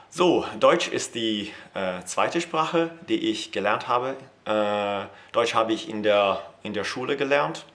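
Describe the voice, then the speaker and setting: Hesitant Italian man, lower voice. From Italy. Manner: hesitant, many fillers and restarts, with soft laughs. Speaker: language teacher and polyglot. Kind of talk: casual talk to camera.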